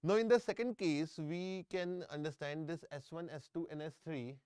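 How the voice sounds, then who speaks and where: A man's voice: on the high side, moderate pace, darkish tone, smooth, no echo, studio quality. A middle-aged man with an Indian English accent, teaching a live online engineering class, often repeating himself and checking in with students. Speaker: engineering exam tutor. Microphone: close microphone.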